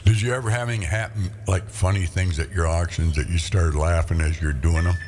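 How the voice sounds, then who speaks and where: A man's voice: sits low, fairly even intonation, fairly quick, darkish tone, a little hiss or hum. A man hosting a local radio talk show from a studio, chatting casually and teasing his guest. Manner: chatty, teasing, mimics an auctioneer's chant. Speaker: radio host.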